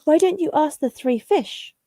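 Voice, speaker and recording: A woman's voice: sits high, animated, moderate pace, warm tone, no echo, pitched above the performer's own voice. A woman voicing a friendly female kitten in a children's storybook, inviting and helpful.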